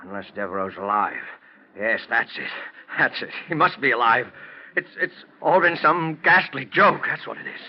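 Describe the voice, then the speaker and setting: An actor playing a guilty, frightened husband in an agitated, melodramatic style of 1940s radio drama. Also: male voice, mid-range voice, lively intonation, normal speed, close to an everyday voice. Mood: defensive, agitated. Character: guilty, fearful husband who murdered for an estate. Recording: muffled, noisy old radio recording.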